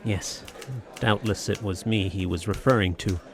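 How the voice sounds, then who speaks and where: A man's voice: on the low side, steady tone, moderate pace, background noise, lightly acted. An actor playing a grave, haunted, learned man in a radio drama, reciting dramatically.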